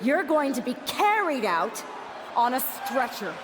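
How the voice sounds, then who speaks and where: A fierce woman wrestler hurling threats at a rival. Her voice is high and swoops widely as she spits out slow, deliberate insults. Long hall reverb and steady noise surround her. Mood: defiant. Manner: confrontational, insulting. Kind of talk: video game cutscene acting.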